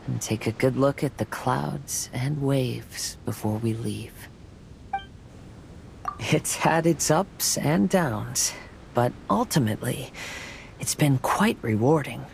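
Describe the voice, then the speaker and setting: A man voicing a gentle, reflective young wandering swordsman in a video game, soft-spoken, calm and polite. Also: medium pitch, vocal fry, a little hiss or hum, poor audio. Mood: serene. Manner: calm, polite, measured phrasing. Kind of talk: video game character voice acting.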